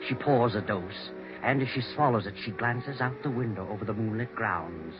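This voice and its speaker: A man performs a foreboding male narrator from a 1940s radio thriller. The voice is low and measured, full of grave drama. Music plays clearly behind it on a dull vintage recording. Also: lightly acted. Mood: ominous, grave.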